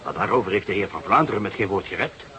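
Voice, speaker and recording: An actor playing a sceptical, patient older police detective in a radio drama, with a measured, musing delivery. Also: male voice, deep voice, expressive, sharp tone. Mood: businesslike. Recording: noisy radio drama recording.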